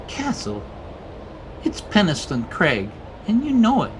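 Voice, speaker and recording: An actor playing a proud, brooding young male outcast in an old-time radio drama, intense and sullen with a husky voice. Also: mid-range voice, wildly swooping pitch, slow, noisy background.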